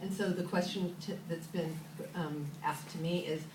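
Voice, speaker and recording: A lower-voiced woman asks a long question from the audience, loud and animated as she searches for her words. She sounds distant in a reverberant auditorium with a noisy background. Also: normal speed. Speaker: audience member asking a question. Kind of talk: public Q&A question. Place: university auditorium. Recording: echoey hall, far handheld camera, noisy.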